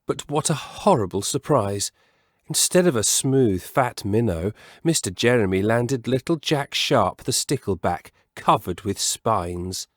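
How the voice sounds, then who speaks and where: A man telling a children's story as a scripted, expressive reading, measured and savouring the descriptive words. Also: mid-range voice, dry recording, clean studio sound.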